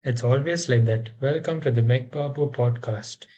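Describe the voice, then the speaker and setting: A man's voice: medium pitch, normal speed, warm tone, muffled recording. A man co-hosting a podcast, asking blunt, teasing questions casually. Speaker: podcast co-host. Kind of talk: casual chat.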